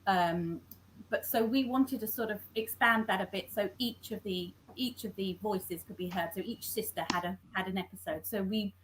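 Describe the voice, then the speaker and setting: Halting woman scriptwriter on a call. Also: medium pitch, slight background noise, poor audio. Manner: halting, self-correcting, many restarts. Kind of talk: conversational interview. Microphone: video call.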